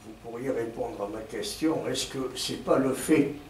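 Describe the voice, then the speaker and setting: Mid-pitched man posing formal questions from the floor, heard from a distance in a reverberant auditorium. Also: measured pace. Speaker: questioner at a debate. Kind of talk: asking questions after a lecture. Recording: echoey hall, distant mic, faint noise.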